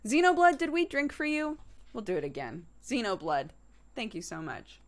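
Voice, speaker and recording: A woman's voice: fairly high pitch, wildly swooping pitch, moderate pace. A woman in her early thirties hosting a livestream, chatting casually and informally with viewers in a conversational way. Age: early 30s. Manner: informal, conversational, laughs easily. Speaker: livestream host. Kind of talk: casual chat with live viewers.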